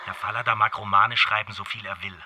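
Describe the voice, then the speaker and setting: An actor playing a hostile Nazi-era newspaper critic in a radio play, sneering and declamatory. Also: male voice, fairly low pitch, flat delivery, fast talker, sharp tone, faint music behind. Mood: scornful.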